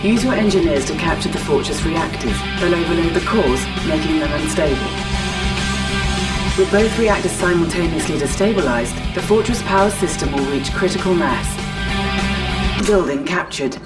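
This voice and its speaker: Low-pitched, composed woman battle announcer actress. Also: lively intonation, moderate pace, lightly acted. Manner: formal, clipped, controlled. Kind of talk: scripted video game mission announcements. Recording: slightly distant, music behind.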